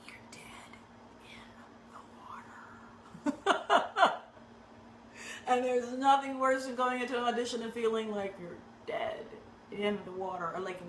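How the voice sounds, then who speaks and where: A woman acting coach giving direct, conversational tips to actors, often checking in with a quick "right?". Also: lowish voice, noisy background. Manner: conversational, frequent rhetorical "right?" tags; animated and emphatic. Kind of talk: direct teaching talk to actors. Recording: echoey room with faint ambience.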